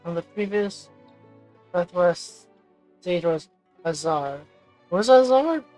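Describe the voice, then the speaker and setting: Low-pitched woman commenting on a game. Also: lively intonation, extremely slow, darkish tone, faint music behind. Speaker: gamer, let's player. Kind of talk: casual game commentary, reading choices aloud.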